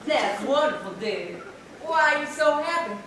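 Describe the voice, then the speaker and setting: A teenage girl playing a gentle, anxious, protective mother in a stage melodrama. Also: high-pitched, animated, a little slow. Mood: tender, worried. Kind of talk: high school stage melodrama. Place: large school theatre stage, filmed from afar. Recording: echoey auditorium from far off, noisy.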